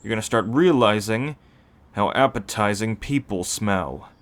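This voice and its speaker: A man playing a seasoned, calm werewolf who explains pack ways matter-of-factly in an ASMR roleplay. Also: on the low side, unhurried, natural voice.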